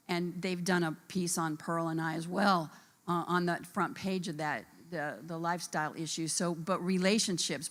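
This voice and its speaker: A woman on a conference panel who works helping incarcerated women, speaking earnestly and addressing the audience directly in a conference room. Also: on the low side. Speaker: conference panelist, reentry support worker.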